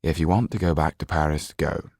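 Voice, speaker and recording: Man as low, terse, weary gentleman. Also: steady tone, fairly quick, no echo, clean studio sound. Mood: stern. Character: weary, blunt English gentleman husband, restrained.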